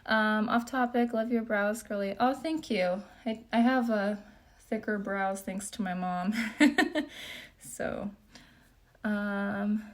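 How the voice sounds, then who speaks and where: A young woman from Japan hosts a relaxed livestream Q&A at home, doing her makeup. Her voice sits at a medium pitch, and she talks in a loose, chatty way, reading viewers' comments aloud and padding her answers with plenty of "um" and "like.". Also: slow pace. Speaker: beauty vlogger.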